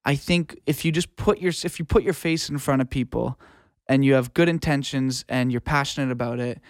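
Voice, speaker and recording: Clear-voiced man chatting informally. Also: medium pitch, fairly quick, no echo, studio quality. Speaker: guest, music producer and audio engineer. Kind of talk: casual interview, giving career advice.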